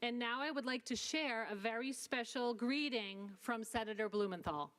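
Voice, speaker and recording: A woman's voice: fairly high pitch, normal speed, fairly bright tone. A woman hosting a citizenship ceremony in a school hall, encouraging and upbeat. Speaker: ceremony host.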